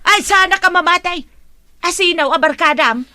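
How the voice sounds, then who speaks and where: A woman's voice: extremely high pitch, normal speed, dry recording, heavily acted. A woman playing a stern, suspicious mother in a radio drama, scolding and interrogating with an Ilocano accent. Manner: sharp, interrogating.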